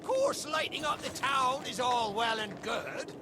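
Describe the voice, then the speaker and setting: An actor playing a greedy, roguish old skull in a video game, with a theatrical storytelling manner. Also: male voice, extremely high pitch, unhurried, background noise. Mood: scheming, helpful. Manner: theatrical storytelling, archaic pirate dialect. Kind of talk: video game character acting.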